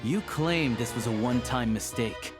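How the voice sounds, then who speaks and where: A man's voice: medium pitch, moderate pace, music in the background, lightly acted. An actor playing a shrewd male hunter in a video game, speaking in a blunt, methodical, confident way. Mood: accusing.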